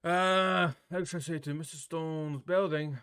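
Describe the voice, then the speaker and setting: A man's voice: a little high, steady tone, normal speed, a bit bright, smooth. A man streaming a game with casual, crude, joking commentary. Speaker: streamer playing and voicing a visual novel.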